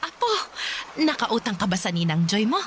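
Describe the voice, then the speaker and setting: A woman's voice: medium pitch, very animated, moderate pace, background noise, natural voice. An actress playing a stern but loving, careworn Filipino grandmother in a radio drama. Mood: worried, practical. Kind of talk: Tagalog radio drama acting.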